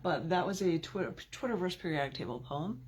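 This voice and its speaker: A woman poet with a husky, gravelly voice, reading her work theatrically. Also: on the low side, animated, fairly quick, a little mellow, a little hiss or hum. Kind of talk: dramatic poetry reading with casual asides.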